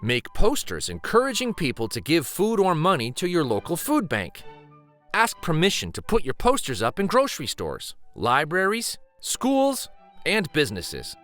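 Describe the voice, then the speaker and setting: A man reading a children's picture book aloud in an expressive, deliberate, emphatic storytime style. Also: a little high, faint music behind. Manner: deliberate, animated, emphatic phrasing.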